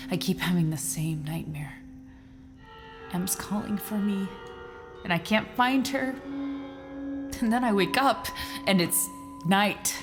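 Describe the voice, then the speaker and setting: An actress playing a trapped, despairing woman scientist on a space mission, weary and bitter, in an audio drama. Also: lowish voice. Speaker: actor in a musical audio drama.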